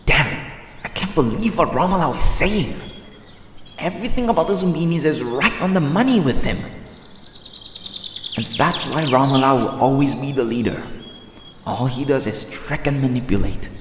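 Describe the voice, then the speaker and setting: A man's voice: mid-range voice, animated, normal speed, long pauses, natural voice. A man playing a grumbling, resentful monster henchman in an audio drama, exasperated as he talks. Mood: bitter, resentful. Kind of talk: scripted audio drama acting. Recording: echoey reverberant voice, background birdsong noise. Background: birdsong, forest ambience.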